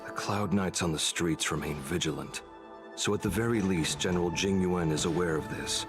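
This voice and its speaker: Deep-voiced, stoic guard. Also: male voice, normal speed. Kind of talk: scripted game voice acting. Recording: game music behind.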